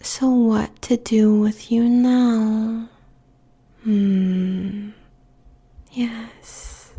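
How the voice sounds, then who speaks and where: A woman playing a possessive, seductive succubus demon queen in a breathy, teasing whisper, as an intimate audio roleplay. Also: on the high side, level tone, dark tone, exaggerated voice. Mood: commanding, sultry. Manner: slow, teasing, drawn-out phrases.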